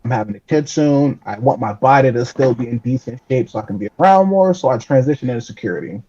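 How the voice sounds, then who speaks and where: A man's voice: mid-range voice, lively intonation, quick pace, warm tone, slightly rough, highs cut off. A man in his late twenties, a podcast guest chatting casually, joking and self-deprecating with frequent laughs. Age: 20s. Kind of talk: casual interview chat.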